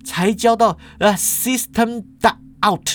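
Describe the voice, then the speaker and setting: A man's voice: sits high, steady tone, takes it slowly, pitched above the performer's own voice. A man voicing a dejected young male student who rambles and complains as he tells a story. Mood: complaining.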